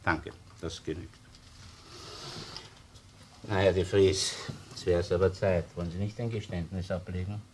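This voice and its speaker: A man's voice: low-pitched, steady tone, unhurried, long pauses, a little hiss or hum. An actor playing a wily, insistent Austrian police chief inspector in a crime drama, needling and sarcastic as he interrogates. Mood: pressing. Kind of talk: crime radio or TV drama acting.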